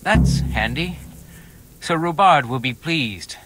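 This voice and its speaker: A man's voice: fairly high pitch, lively intonation, takes it slowly, very noisy. A man playing a grateful, chummy army quartermaster in a medieval video game. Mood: grateful, pleased. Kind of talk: video game dialogue acting.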